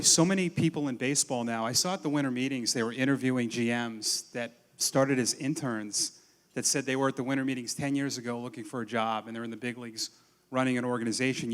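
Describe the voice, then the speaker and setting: A man's voice: medium pitch, fairly bright tone, big-room sound. A man interviewing a guest, asking questions in a friendly, chatty way. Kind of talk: casual interview at a dinner event.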